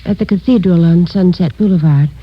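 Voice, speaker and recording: An actress playing a gentle, hesitant grieving widow in a 1950s radio drama. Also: female voice, on the low side, brisk, slightly rough, dry recording, dull audio.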